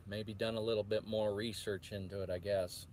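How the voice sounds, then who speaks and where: A man's voice: fairly low pitch, little pitch movement, moderate pace, darkish tone, slight background noise. A man chatting casually to viewers as a truck driver vlogger, rambling and often restarting his sentences in a hesitant, meandering way. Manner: hesitant, meandering, self-interrupting.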